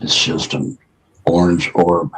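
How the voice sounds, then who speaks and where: A man with a deep, gravelly voice, recalling a memory haltingly while under hypnotic regression. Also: completely flat delivery, low-quality recording. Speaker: regression subject.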